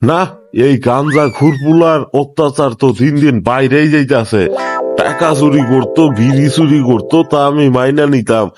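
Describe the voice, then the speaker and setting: A man's voice: mid-range voice, fairly even intonation, normal speed, lightly acted. Man playing grumbling old Bangladeshi cartoon father, music behind. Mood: pained, aggrieved. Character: bewildered, aggrieved old miserly father of a layabout son. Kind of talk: comic Bangla cartoon dub.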